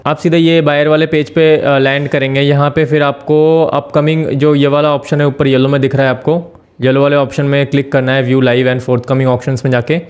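A man talking through a screen-share tutorial as the channel host, explaining step by step with frequent filler pauses. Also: mid-range voice, flat delivery, a little mellow, clean tone.

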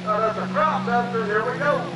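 A man's voice: very high voice, brisk, distant mic, heavy background noise. A man announcing live at a truck pull, hyping the crowd in a folksy, rousing way. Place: outdoor fairground dirt track. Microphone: PA loudspeakers picked up by distant camera. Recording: outdoor PA from afar, echoing, loud engines. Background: loud truck engines.

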